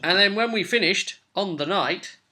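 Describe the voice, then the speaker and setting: A young man with a slightly high, bright voice talks casually as host. He chats about a tabletop game session as its game master, speaking into a webcam in a small home room. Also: normal speed. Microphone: webcam at arm's length.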